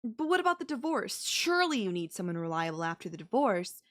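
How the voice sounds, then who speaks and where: A woman performs a young female babysitter with an obsessive crush on her employer. Her mid-pitched voice is conversational yet pleading, defensive and possessive. It plays as intimate, anime-flavored audio roleplay addressed to one listener. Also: sing-song, fairly quick, fairly bright tone. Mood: desperate, indignant.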